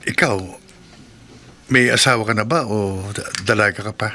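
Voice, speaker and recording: A man's voice: on the low side, slow, sharp tone, heavily acted. A man playing a meek, nervous, lovelorn husband in a horror radio drama, hesitant and prone to stammering. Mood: friendly, earnest. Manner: hesitant, stammering when flustered.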